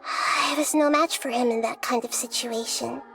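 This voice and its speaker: A woman voicing a small, childlike but wise goddess in a video game, speaking in a gentle, measured, formal way. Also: on the high side, steady tone, gravelly, faint music behind. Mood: thoughtful, earnest.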